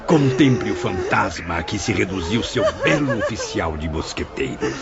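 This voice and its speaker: An actor playing a cruel, gloating villain obsessed with love, theatrical and mocking in an audio drama. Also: male voice, on the low side, huge pitch swings, moderate pace, vocal fry, full character voice. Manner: theatrical, mocking, swinging between menace and pleading. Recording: dull lo-fi, music behind.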